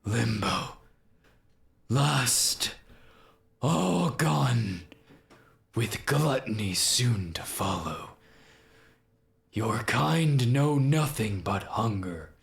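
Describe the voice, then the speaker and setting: A man's voice: medium pitch, lively intonation, extremely slow, creaky, pauses often, pitched above the performer's own voice, exaggerated voice. A man voicing a proud, wrathful angel warrior from a game, in grandiose, archaic declamation with some reverb. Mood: brooding hatred. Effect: added reverb and delay.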